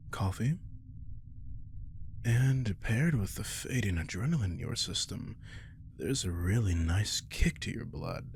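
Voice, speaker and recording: A man playing an arrogant, teasing, centuries-old vampire king in an intimate audio roleplay, casual and sardonic, with a low, gravelly voice. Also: slight background noise, heavily acted. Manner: casual, sardonic, swearing, coaxing his prey.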